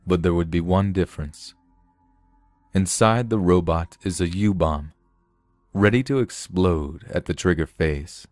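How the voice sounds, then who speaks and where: Man playing a low, cold, unmoved security officer. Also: a little slow, dry recording, soft background music. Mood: cold, grave. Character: cold, matter-of-fact male security officer. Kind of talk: dramatised science fiction audiobook.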